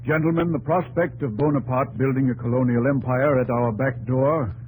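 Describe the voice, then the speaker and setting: An actor playing a thoughtful, fatherly American president in a 1950s radio drama, with a gentle, measured, slightly hesitant manner. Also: mid-range voice, fairly even intonation, slightly rough, natural voice. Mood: grave. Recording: noisy old 1950s radio recording.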